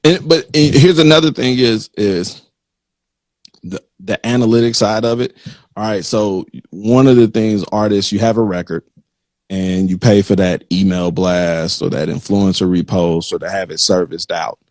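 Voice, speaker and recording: A man's voice: fairly low pitch, normal speed, a little mellow, dry recording. Casual man with a dry, close sound. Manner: informal, slangy, acts out imagined quotes. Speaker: interviewer, magazine host. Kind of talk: casual conversational interview.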